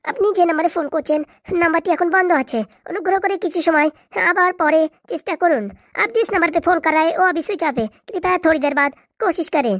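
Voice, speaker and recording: A man's voice: extremely high pitch, fast, a bit bright, no room sound, clean studio sound. A man voicing a polite automated phone announcer, reciting formally in a comedy cartoon. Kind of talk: comedy cartoon imitation of a recorded message.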